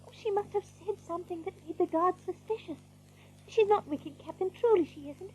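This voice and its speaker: A woman's voice: very high voice, fairly quick, dark tone, slight background noise. A woman playing a frightened young female rabbit in an audio drama, pleading anxiously. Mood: panicked, pleading. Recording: muffled lo-fi tape.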